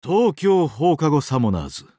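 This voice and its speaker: An actor playing a sadistic, weeping angel of doomsday in a mobile game, exaggeratedly polite and dramatic, swinging between sorrow and glee. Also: male voice, fairly high pitch, big pitch swings, normal speed, dark tone, dry recording, clean studio sound. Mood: solemn, courteous. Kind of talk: Japanese mobile game character acting.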